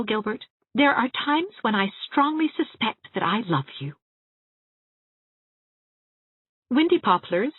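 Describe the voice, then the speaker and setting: A woman voicing a spirited, affectionate young schoolteacher in an audiobook of her letters, with an expressive, whimsical delivery. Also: mid-range voice, voice pushed up. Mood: playful, tender. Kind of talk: audiobook reading of first-person letters. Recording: boxy room echo, muffled narrow-band sound.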